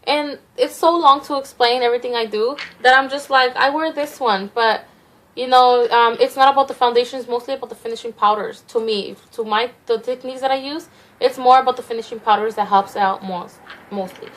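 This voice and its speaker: A woman's voice: on the high side, steady tone, fairly quick, a bit bright, poor audio. A young woman from Mexico with a Mexican accent, chatting through a makeup tutorial in a rambling, self-conscious way with many restarts. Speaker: makeup vlogger.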